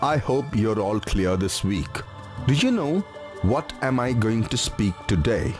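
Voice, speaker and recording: A man voicing a wise, confident village mullah in a children's cartoon, addressing his congregation in a slow, measured way. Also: fairly low pitch, lively intonation, music in the background. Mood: measured, questioning.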